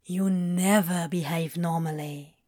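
A woman's voice: mid-range voice, extremely slow, darkish tone. A woman voicing a guarded, laconic male demon in an audiobook story, with a clipped, mumbling, offhand drawl. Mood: pensive, offhand.

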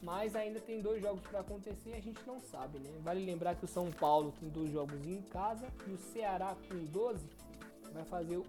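A man's voice: high voice, fairly even intonation, moderate pace, over music. A young Brazilian man co-hosting a casual football podcast, running through results and stumbling over foreign team names. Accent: Brazilian Portuguese. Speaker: podcast co-host. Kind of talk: casual sports podcast chat.

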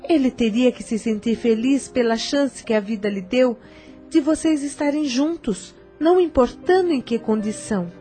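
An actress playing a kind, motherly stepmother giving gentle advice in a radio drama. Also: on the high side, moderate pace, soft background music, close to an everyday voice. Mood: tender. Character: kind older stepmother, tender and wise.

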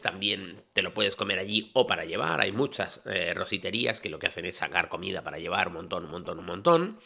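Guy podcasting, chatty, voice jumps all over, lowish and a bit gravelly. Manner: lively, chatty, swings from loud bursts to quiet asides. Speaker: podcast host. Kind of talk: casual explanatory podcast. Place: travelling in Italy.

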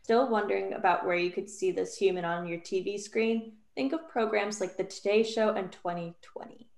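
A woman's voice: mid-range voice, moderate pace, creaky, roomy sound. A woman co-host running a playful quiz segment over a video call, giggling as she talks. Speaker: podcast co-host. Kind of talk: casual quiz chat.

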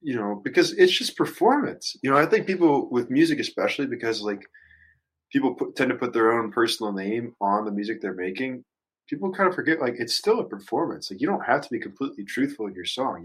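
A man with a medium-pitched, husky voice talks casually on a group podcast. He explains things in a loose, rambling way with everyday slang. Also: big pitch swings, fairly quick, rough recording. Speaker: podcast panelist.

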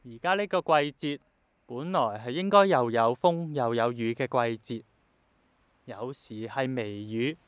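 A man's voice: medium pitch, a little slow, smooth, dry recording, lightly acted. An actor with a Hong Kong Cantonese accent playing an earnest, dreamy young man who is obsessed with bringing back rain, in a radio drama. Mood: passionate, wistful. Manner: passionate, persuasive, romantic-minded.

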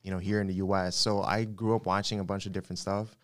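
A man from the Philippines, a podcast guest, chatting casually and telling stories with quick asides. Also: low voice, flat delivery. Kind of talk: casual chat.

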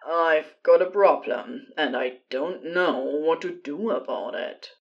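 A woman's voice: low-pitched, slow, dull audio. Woman playing an agitated, strained male caller. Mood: agitated, guarded. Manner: curt, hesitant, strained. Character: agitated, embarrassed, evasive man calling a helpline.

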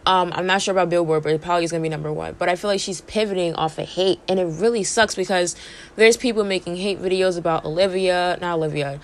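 A woman's voice: fairly low pitch, steady tone, fast talker. Chatty young woman with a strained voice. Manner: chatty, fillers like "like" and "you know". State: losing her voice. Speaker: podcast host. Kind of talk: casual entertainment gossip commentary.

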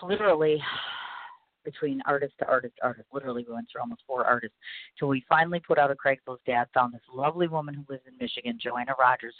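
Fast-talking rambling male podcast host. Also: mid-range voice, dry recording, phone-quality sound. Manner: rambling, gushing, repeats "literally". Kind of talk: casual talk show monologue.

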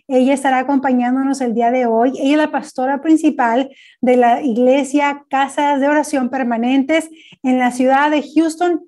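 A woman hosts a ministry podcast, addressing her guest formally and warmly by title. Her voice sits high, and she sometimes trips and repeats words while building a question. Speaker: podcast host, ministry coordinator. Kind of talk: podcast interview.

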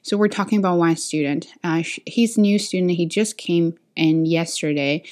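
A woman's voice: lowish voice, fairly even intonation, normal speed, a little mellow. A woman who is not a native speaker of English, hosting a casual solo podcast and thinking aloud with many fillers and restarts, recorded on a camera mic. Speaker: podcast host and teacher.

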